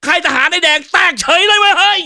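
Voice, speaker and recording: A man's voice: very high voice, fast, creaky, pushed and bright, full character voice. A man voicing a cocky, trash-talking country tough guy as a comic game announcer, boastful and mocking with slangy swagger. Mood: excited, shouting.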